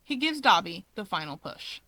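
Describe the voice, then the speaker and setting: Casting: female story narrator, medium pitch, expressive fiction reading, very dry sound. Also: moderate pace, no room sound, low-quality recording.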